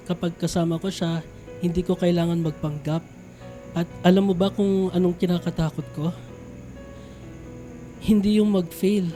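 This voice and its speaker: A man plays a lovestruck young Filipino man in a radio drama, earnest and anxious. His voice is high for a man and soft-edged, with faint music behind. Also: natural voice. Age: young adult.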